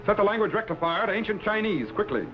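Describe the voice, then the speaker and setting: A man acting a commanding time-travelling captain in an old sci-fi serial, giving clipped, steady orders on a dated recording. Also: high voice, fast talker. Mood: steady, urgent. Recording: dull old broadcast recording with loud music.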